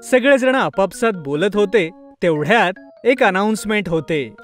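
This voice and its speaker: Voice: medium pitch, lively intonation, moderate pace. A storyteller narrating a scripted tale for children. Background music plays under the narration.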